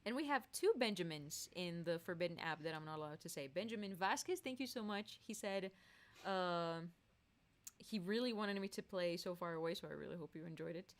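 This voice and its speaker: A woman's voice: on the low side, expressive, a little fast. A woman singer hosting a casual live stream, reading chat aloud and laughing often.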